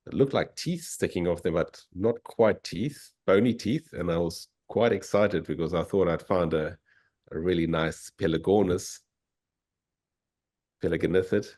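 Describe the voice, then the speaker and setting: A South African man with a very low, deep voice gives a relaxed, keen presentation as a guest speaker. He comes through a video call. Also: a little slow. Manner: easygoing and enthusiastic. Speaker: fossil hunter and guest speaker. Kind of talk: informal slide presentation.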